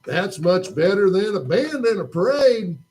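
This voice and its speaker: A man's voice: sits high, big pitch swings, unhurried, a little mellow, slight background noise. Man doing a wide-eyed boy puppet. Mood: curious. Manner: questioning, jokey and full of wonder. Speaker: puppet in a church children's show. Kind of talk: children's puppet show dialogue. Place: church.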